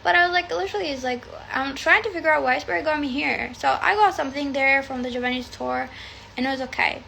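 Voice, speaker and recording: High, gravelly Arabic-native woman rambling. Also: fast talker, bright, forceful tone, a little hiss or hum. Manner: chatty, rambling, swears freely. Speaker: tarot reader. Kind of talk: casual livestream chat. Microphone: phone livestream.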